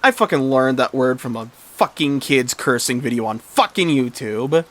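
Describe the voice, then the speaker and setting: A man's voice: medium pitch, lively intonation, moderate pace, fairly bright tone, natural voice. A man voicing a foul-mouthed, vicious female animal from a cartoon story, taunting and aggressive. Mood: defiant, aggressive.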